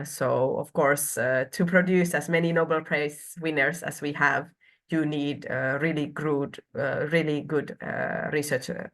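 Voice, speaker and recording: A woman from Finland in her thirties presenting a university program online, with frequent hesitations and filler sounds. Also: deep voice.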